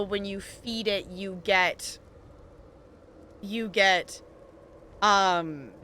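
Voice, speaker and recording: A woman live streamer chatting casually with her viewers while gaming, thinking aloud with halting pauses. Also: medium pitch, pushed and bright, a little hiss or hum.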